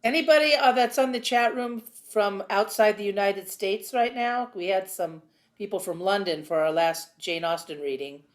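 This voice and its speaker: A woman's voice: mid-range voice, expressive, moderate pace. A chatty, effusive woman from a theatre company talking casually on a video call. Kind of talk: casual chat. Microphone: video call microphone.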